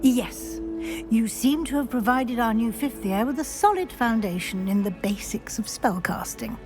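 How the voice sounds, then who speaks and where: A brisk and shrewd witch professor played by an actress for a video game. Her voice sits fairly high and she speaks formally and articulately, with a gentle scolding edge. Some music plays behind her. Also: lively intonation, close to an everyday voice. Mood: wry. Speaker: teacher. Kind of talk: scripted video game acting. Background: background music.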